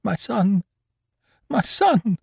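A man voicing a terrified aristocratic mother in an audiobook, crying out in shrill panic for her son. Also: big pitch swings, drawn-out words, vocal fry, a little mellow, husky, dry recording, studio quality, heavily acted. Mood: panicked. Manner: shrill, breaking into panicked cries.

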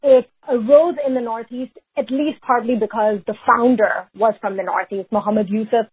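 A woman from Pakistan, a researcher answering interview questions in a measured way, often hedging with "sort of" and "if you will". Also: a little high, fairly even intonation, slightly rough, no room sound. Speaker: researcher and guest. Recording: phone-like remote line.

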